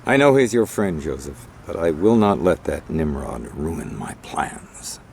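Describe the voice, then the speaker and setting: An older mob boss uncle from a game, really low voice, dry sarcasm that rises and falls. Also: male voice, big pitch swings, unhurried, gravelly, darkish tone, slight background noise, heavily acted. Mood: haughty, mocking. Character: condescending older male crime boss, sardonic uncle. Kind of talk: video game cutscene acting.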